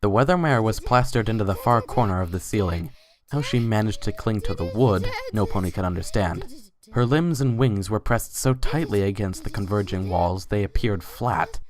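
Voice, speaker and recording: A man's voice: on the low side, moderate pace, crowd noise. A man giving a dramatic, scripted reading of a story as its narrator.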